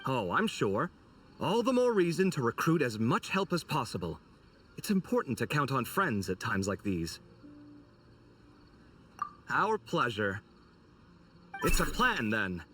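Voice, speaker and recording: A man voicing an expressive, exasperated but good-hearted scholar in a video game, with a theatrical, sarcastic, emphatic delivery. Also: medium pitch, a little slow, long pauses, far from the mic, a little hiss or hum. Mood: warm, teasing. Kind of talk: scripted video game voice acting.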